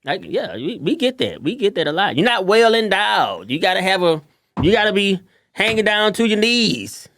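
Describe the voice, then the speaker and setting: A man with a light voice chatting casually on a podcast, animated and quick to mimic others. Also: a little hiss or hum. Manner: animated, shifts into high mocking voices when quoting others. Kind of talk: casual chat.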